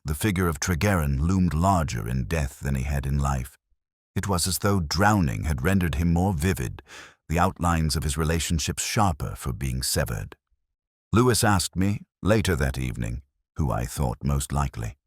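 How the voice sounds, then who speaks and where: A man playing a brooding, erudite older detective, narrating a radio mystery in a measured, solemn, literary manner. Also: a bass voice, lively intonation, dry recording, clean studio sound. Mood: brooding, reflective. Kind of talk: scripted first-person mystery narration, audio drama.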